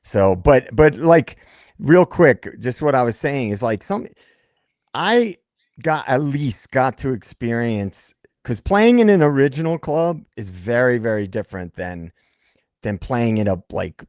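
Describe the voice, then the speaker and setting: Brooklyn-born guy on a livestream, mid-pitched, dry close mic, tells stories, repeats himself when he gets going. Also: unhurried, no echo. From Brooklyn, New York, United States. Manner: animated storyteller who repeats words when he gets going. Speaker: co-host of a true crime livestream. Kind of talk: casual livestream chat.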